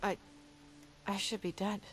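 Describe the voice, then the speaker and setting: A woman's voice: medium pitch, little pitch movement, measured pace, a little hiss or hum, close to an everyday voice. A woman playing a grim, dutiful resistance fighter in a video game cutscene, speaking with earnest, serious urgency. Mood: grave.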